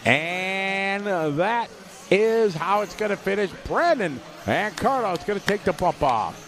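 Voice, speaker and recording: A man calling a radio-controlled car race live, giving quick play-by-play and reading out viewer messages. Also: high-pitched, animated, vocal fry, background noise. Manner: rapid play-by-play, reads viewer chat aloud. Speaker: race commentator.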